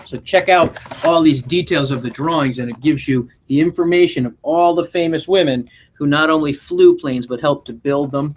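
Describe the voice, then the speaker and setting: A man's voice: fairly high pitch, moderate pace, few pauses, rough recording. A man, a school principal, reading a children's picture book aloud expressively and chatting to young listeners. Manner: expressive, engaging listeners with questions.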